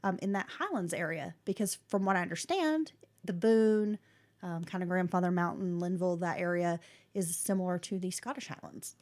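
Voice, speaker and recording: A woman's voice: lowish voice, normal speed, darkish tone. A woman, co-hosting a podcast and telling a history story in a casual way, with fillers and restarts. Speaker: podcast co-host. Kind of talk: casual chat and story narration.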